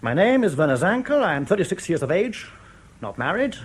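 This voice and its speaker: A radio drama defector from East Germany, a courteous and anxious official. His voice is slightly high for a man and husky at the edges. He chooses his words formally and carefully, with proud precision and small hesitant pauses. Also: big pitch swings, exaggerated voice. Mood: earnest, grave.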